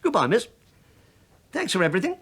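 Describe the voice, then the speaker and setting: Shabby British sleuth, radio play: dry understatement, needling courtesy, highly varied intonation. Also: male voice, wildly swooping pitch, fairly quick, bright, forceful tone, slightly rough, full character voice. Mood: rueful. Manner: sardonic, mock-deferential, probing, with dry understatement. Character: wry, sly, shabby male investigator posing as police inspector. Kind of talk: radio drama acting.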